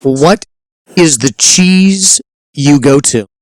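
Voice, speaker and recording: A man's voice: a little high, lively intonation, drawn-out words, no room sound, clean studio sound. A man hosting a casual interview, playful and jokey with his guest. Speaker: host.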